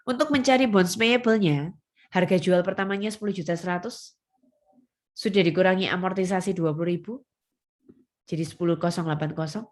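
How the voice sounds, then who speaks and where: A woman's voice: fairly low pitch, brisk. A woman teaches a class step by step. She is instructive and leans on key terms. She often poses a question and then answers it herself. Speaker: accounting lecturer. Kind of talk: step-by-step lecture to students.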